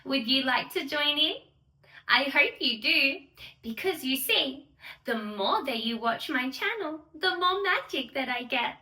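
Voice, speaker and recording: A sweet young fairy played by a woman tells bedtime stories in a very high, sing-song voice that swoops up and down. She gushes straight to little children, excitable and unhurried. The sound is roomy and a little far from the microphone. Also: heavily acted. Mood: playful, excited. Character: sweet, excitable young female storytelling fairy who loves bubbles. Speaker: children's storyteller and channel host. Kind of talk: children's bedtime story read-aloud. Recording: roomy echo, distant microphone.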